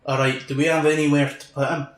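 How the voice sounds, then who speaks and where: A man's voice: fairly high pitch, little pitch movement, fast talker, close to an everyday voice. Man acting a cocky older soldier, echoey recording. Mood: brash, tough. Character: gruff, cocky older male soldier, a grandfather. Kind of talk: fan audio drama acting. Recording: echoey, reverberant room sound.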